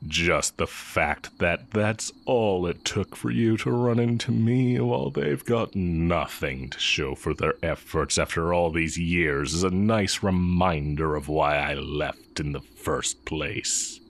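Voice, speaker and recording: A man acting as a sly, coolly teasing heretic magic teacher in an intimate audio roleplay, musing and taunting with drawn-out pauses. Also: low voice, gravelly, exaggerated voice. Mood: wry, musing.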